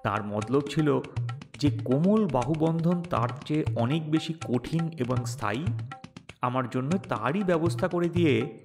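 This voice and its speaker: A man narrating a first-person audio story in a wry, theatrical, expressive way. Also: a little high, measured pace, gravelly, music in the background. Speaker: story narrator voicing the protagonist.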